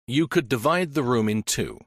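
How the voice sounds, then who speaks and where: A man narrating a mystery audiobook in a measured, expressive reading. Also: mid-range voice, dry recording, studio quality. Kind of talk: scripted story narration.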